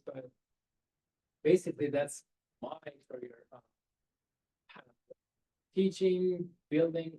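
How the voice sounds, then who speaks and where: A man's voice: fairly high pitch, mellow, rough recording. A man, giving earnest career advice to students in a seminar over a video call. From Iran. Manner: earnest, direct, rhetorical questions, non-native phrasing. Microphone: webcam on a video call.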